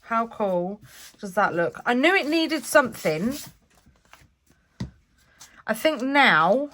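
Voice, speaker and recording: A woman's voice: fairly high pitch, sing-song, takes it slowly, a bit bright. A woman hosting a craft vlog, chatting and thinking aloud as she works, a bit stuffy with a cold. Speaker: craft channel host. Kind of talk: casual crafting vlog talk.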